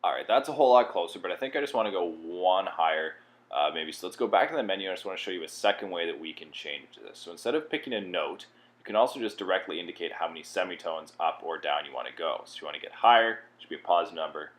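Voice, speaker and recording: A man with a low voice walks through software steps as a tutorial presenter. His delivery is plain-spoken and instructional, explaining each step as he goes. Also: lively intonation, quick pace.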